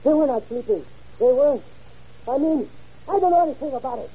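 A man acting an agitated, evasive, jealous murder suspect in a 1930s radio drama, excitable and stammering in his protests. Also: extremely high pitch, brisk, slightly rough, full character voice. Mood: agitated, panicked. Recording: muffled old radio recording.

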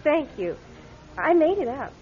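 A woman's voice: high voice, very animated, measured pace. An actress playing an earnest, determined young engaged woman in a radio drama, polite, eager and insistent. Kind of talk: classic radio drama acting. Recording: muffled old radio drama recording.

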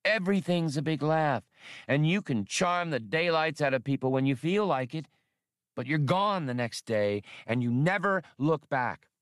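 Guy doing a blunt tough space girl for an audiobook, higher voice, proud and emphatic, dry sound. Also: animated, normal speed, smooth, no echo, lightly acted. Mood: exasperated accusing. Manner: scolding, emphatic. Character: proud, blunt, tough working-class young woman spacefarer.

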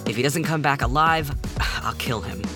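A man's voice: medium pitch, normal speed, music in the background, lightly acted. An actor playing a worried, exasperated man making a log entry in an audio drama. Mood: worried exasperation. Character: worried, exasperated man logging a tense recording.

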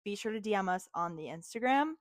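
A woman's voice: medium pitch, lively intonation, fast talker, dry recording, studio quality. Young American woman in casual, bubbly chat. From Miami, United States. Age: young adult. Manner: bubbly, giggly, girl-talk slang. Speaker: podcast co-host.

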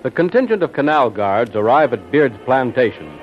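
A man's voice: medium pitch, big pitch swings, moderate pace. A man narrating a 1940s radio adventure serial in a dramatic, declamatory announcer's style. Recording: muffled old radio broadcast, music behind.

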